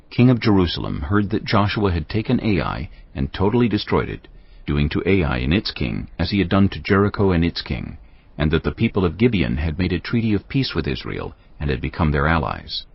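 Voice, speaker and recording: Very deep gravelly man narrating scripture. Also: big pitch swings, dull audio. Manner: solemn, measured storytelling. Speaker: audio Bible narrator. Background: background music.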